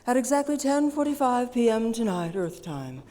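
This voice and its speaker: An actress playing a calm female superintendent of angels in a staged radio play. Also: a little high, moderate pace. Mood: solemn. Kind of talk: 1940s radio acting. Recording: echoey theater hall.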